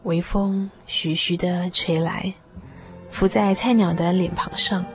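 Expressive woman narrator over music. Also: lowish voice. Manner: expressive, measured literary delivery. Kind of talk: scripted radio drama storytelling. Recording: music behind the voice.

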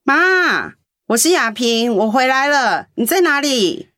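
An actress playing a brusque, quarrelsome grown daughter in a radio play. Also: female voice, high-pitched, big pitch swings, slow pace, pushed and bright, no room sound, studio quality, full character voice. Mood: accusing. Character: sharp, accusing grown daughter.

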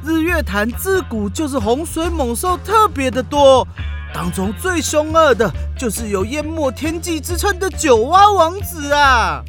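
Excitable schoolchild, Taiwanese Mandarin, high, very animated, dramatic, children's radio drama. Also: female voice, measured pace. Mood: alarmed. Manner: dramatic, emphatic. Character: earnest, excitable schoolchild on an adventure. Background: music.

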